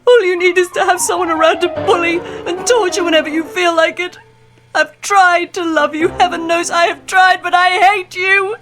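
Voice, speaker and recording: An actress playing a repressed, long-suffering wife with formal diction in an emotional old-time radio drama style. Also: female voice, very high-pitched, fairly even intonation, normal speed, fairly bright tone. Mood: tearful fury.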